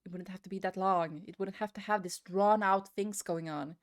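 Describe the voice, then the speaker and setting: A woman's voice: mid-range voice, steady tone, moderate pace. A woman giving a casual, rambling opinion rant as a gaming video creator, often hesitating and repeating herself.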